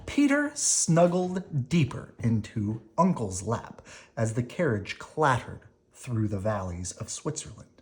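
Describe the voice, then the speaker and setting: A person reading a children's picture book aloud expressively, with dramatic emphasis, pauses and brief explanatory asides. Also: medium pitch, sing-song. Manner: dramatic emphasis, pausing between phrases.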